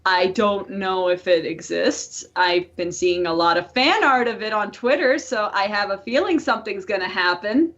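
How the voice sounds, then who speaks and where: Female podcast co-host, mid-pitched, chatty and informal, free with swearing, on slightly lo-fi sound. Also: lively intonation, normal speed, few pauses, a bit bright. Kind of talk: casual podcast chat.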